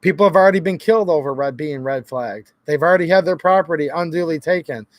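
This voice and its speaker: Hoarse high-voiced man venting to viewers. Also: fast talker, no room sound. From Michigan. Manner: earnest, talks directly to viewers as guys, repeats himself. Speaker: gun channel host. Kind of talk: casual talk to camera.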